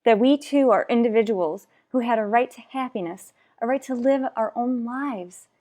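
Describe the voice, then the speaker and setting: A woman playing an anguished, unhappily married mother in a radio soap opera, speaking earnestly with dramatic pauses. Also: a little high, dark tone, close to an everyday voice. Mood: regretful, yearning.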